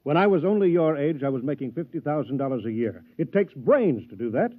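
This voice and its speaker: A man's voice: a little high, very animated, brisk. An actor playing a wealthy, middle-aged father who talks down to people with cold, needling deliberation in a radio drama. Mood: condescending, stern. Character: arrogant, rich middle-aged father, coldly belittling. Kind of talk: 1950s radio drama acting. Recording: thin, phone-like vintage radio recording.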